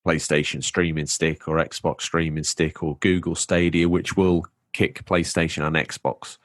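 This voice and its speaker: A man's voice: extremely low pitch, flat delivery, unhurried, no echo, clean studio sound. A man co-hosting a casual podcast chat, wry and full of rhetorical questions. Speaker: podcast co-host.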